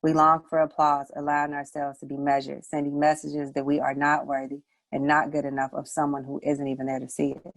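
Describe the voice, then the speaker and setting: A woman's voice: low voice, completely flat delivery, brisk, no echo, rough recording. A woman reciting her own poetry as a spoken word piece. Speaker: poet, showcase participant.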